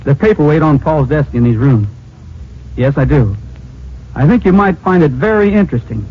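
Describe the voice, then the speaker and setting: An actor playing a calm, concerned, plainspoken hero in an old-time radio drama. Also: male voice, on the high side, normal speed, lightly acted. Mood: calm, matter-of-fact. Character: calm, concerned, open-minded hero investigating a mystery. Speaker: lead actor of the series. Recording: muffled old radio recording, faint hiss.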